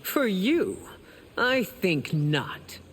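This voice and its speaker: A woman's voice: medium pitch, sing-song, extremely slow, a little hiss or hum. A woman playing a proud, stern empress in a fantasy video game, speaking in a regal and formal manner. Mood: scornful. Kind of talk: video game dramatic acting. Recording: long hall reverb, music behind.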